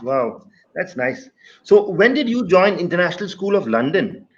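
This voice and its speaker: A man's voice: high voice, very animated, moderate pace, dull audio. A man hosting an online interview, asking questions with lots of fillers. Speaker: interview host.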